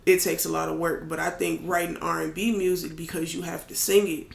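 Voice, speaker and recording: A man with a raspy voice talking casually as a podcast guest, candid and loose, with plenty of fillers. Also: on the high side, level tone, fairly quick. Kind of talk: casual chat. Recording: echoey room.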